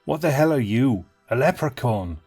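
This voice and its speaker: A man voicing a tipsy grown man wandering woods at night, talking gruffly to himself in a storybook. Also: mid-range voice, animated, normal speed, a little mellow, faint music behind. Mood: startled.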